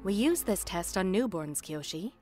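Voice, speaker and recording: A woman's voice: mid-range voice, animated, a little mellow, faint music behind, natural voice. Woman playing a stern, composed female martial instructor. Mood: patient, stern. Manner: measured, authoritative, instructive. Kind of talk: fan visual novel voice acting.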